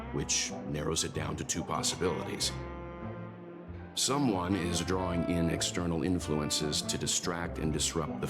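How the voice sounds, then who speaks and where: A male actor playing a composed, analytical investigator in a sci-fi game, speaking in a deliberate, formal, articulate way. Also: extremely low pitch. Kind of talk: dramatic game dialogue, measured exposition. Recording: loud music behind. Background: game music.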